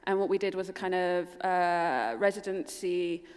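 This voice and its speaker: A woman's voice: lowish voice, moderate pace, darkish tone, smooth. A woman with a London accent giving a keynote talk as an architect, casual and full of um and kind of. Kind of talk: conference presentation. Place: lecture hall at a forum. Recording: long hall reverb.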